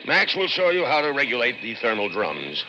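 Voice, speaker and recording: A man's voice: medium pitch, huge pitch swings, normal speed. A man playing a proud, smug middle-aged scientist with an American accent in a 1960s radio drama, theatrical and scornful of human assistants. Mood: smug, genial. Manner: muttering, theatrical, self-satisfied. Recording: noisy, dull old radio broadcast.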